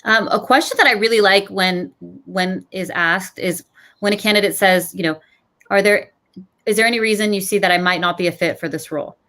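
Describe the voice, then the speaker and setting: A woman's voice: mid-range voice, a little fast, fairly bright tone. A woman recruiter with a mid-range voice speaks on an online panel. She is thoughtful and restarts her sentences often. The sound comes through a video call. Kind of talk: panel discussion.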